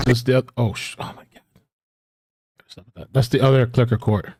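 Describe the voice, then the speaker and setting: A man's voice: medium pitch, steady tone, normal speed, darkish tone, a little hiss or hum. A man hosting a live reaction stream, chatting offhand and swearing casually.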